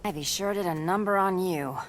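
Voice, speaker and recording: A woman's voice: fairly low pitch, brisk, heavy background noise, natural voice. A woman playing a tough, loyal, guarded antihero in a video game, terse and earnest. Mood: wary. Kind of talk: cinematic video game voice acting.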